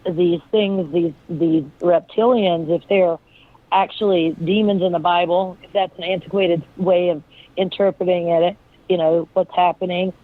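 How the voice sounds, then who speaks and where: A hoarse woman with a raspy voice, a guest talking in a rambling, searching way with many fillers. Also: lowish voice, dry recording. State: hoarse from illness. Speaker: guest, friend of the deceased. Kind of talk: casual interview talk. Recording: tinny phone line.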